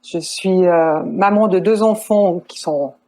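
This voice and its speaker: A woman in her sixties with a Swiss French accent, a nurse and counselor calmly answering interview questions about her life. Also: lowish voice, slow, poor audio. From Switzerland. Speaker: guest, nurse and family counselor.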